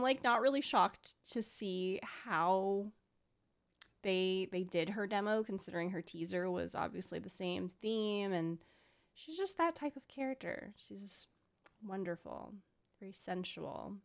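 A tired young woman with a slight lisp, chatting casually as she streams a reaction at a close desk microphone. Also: medium pitch. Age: young adult. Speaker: streamer reacting to game trailers. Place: small room at home. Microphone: close desk microphone with headset.

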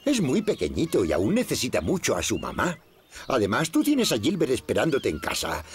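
An actor playing a gentle, patient elderly neighbor in a children's cartoon, reassuring and encouraging, with a Castilian Spanish accent. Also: male voice, mid-range voice, huge pitch swings, a little fast, a little hiss or hum. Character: kindly, patient elderly neighbor man, gentle farm helper.

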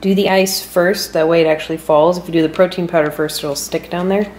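A woman in her thirties with an American accent talks in a casual fitness vlog style. Her voice sits a little low. She is in an echoey home kitchen, with light noise behind her. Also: steady tone, a little fast, darkish tone. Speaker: fitness vlogger. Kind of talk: casual vlog chat and explaining. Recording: roomy kitchen with some background noise.

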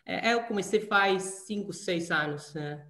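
A young man from Argentina with a clear Argentine Spanish accent, speaking as a native Spanish speaker, answers questions over a laptop webcam. He talks hesitantly, repeating and restarting words, and his voice rings in an echoey room at home. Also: high voice, a little slow, pushed and bright. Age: young adult. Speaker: data scientist guest. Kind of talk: video call interview. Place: small room at home, indoors. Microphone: laptop webcam on video call.

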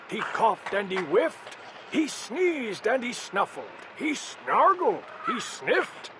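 Very high-voiced man reading a storybook. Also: wildly swooping pitch, slow, noisy background. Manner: theatrical, emphatic. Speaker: storybook reader. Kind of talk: expressive read-aloud of a children's rhyming story.

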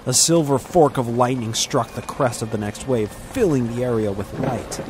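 A man's voice: medium pitch, normal speed, darkish tone, slight background noise. A man narrating a scripted adventure story in an intense, dramatic storytelling manner.

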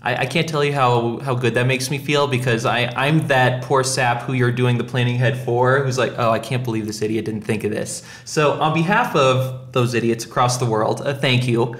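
A man's voice: medium pitch, fairly even intonation, normal speed, hardly any pauses, fairly bright tone, roomy sound. A man hosting a casual podcast, chatting in a jokey, self-deprecating way. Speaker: podcast host.

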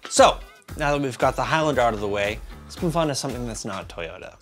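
A man in his thirties talks through car picks in a loose, tipsy way, stumbling and stretching words. His voice sits at an average pitch. Music plays clearly behind his casual, close-miked delivery. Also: animated, fast, a bit bright. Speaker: host of a car review show. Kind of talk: casual scripted-list review to camera with asides. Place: small home office room with computer monitors. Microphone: lavalier clipped to shirt.

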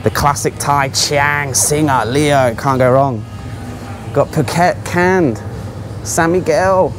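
A man's voice: on the high side, slow pace, music in the background. A man giving casual, offhand, exclaiming commentary as a travel vlogger walking around a supermarket. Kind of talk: casual running vlog commentary. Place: large supermarket aisles.

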